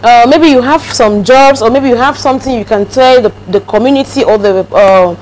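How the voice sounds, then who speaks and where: A woman's voice: fairly high pitch, moderate pace, a little mellow, slight background noise, rough recording. A woman from Cameroon hosting a casual interview, chatty and prone to repeating words as she starts a sentence. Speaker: host.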